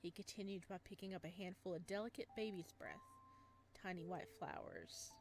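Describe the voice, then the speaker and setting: A woman playing a visual novel, reading its text aloud and breaking in with excited reactions. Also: fairly low pitch, normal speed, a little hiss or hum. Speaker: game streamer.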